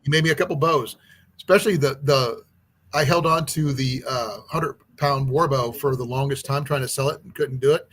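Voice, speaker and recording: A man's voice: mid-range voice, normal speed, a bit bright. A man hosting his own outdoors channel, chatting casually and rambling with many restarts about gifts he got. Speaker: channel host. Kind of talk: casual chat.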